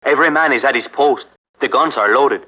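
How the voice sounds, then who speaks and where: An actor playing an alert male officer at a fort, reporting crisply in a 1940s radio drama. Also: medium pitch, fairly quick, background noise, lightly acted. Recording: dull 1940s radio recording.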